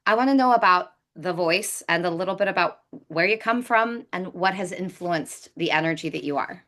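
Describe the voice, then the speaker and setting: The woman host of a chatty interview podcast, crisp-edged and bright, informally thinking aloud as she frames her questions. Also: lowish voice, normal speed. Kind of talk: casual conversational interview.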